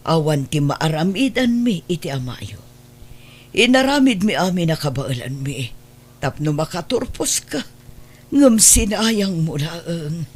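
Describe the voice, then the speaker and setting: A woman's voice: lowish voice, sing-song, measured pace, darkish tone. A woman playing a worried, pleading mother in a radio drama, speaking earnestly and drawing out her words with emotion. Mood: resigned, disappointed. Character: worried, pleading mother of a wayward grown son. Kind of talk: Ilocano radio drama acting.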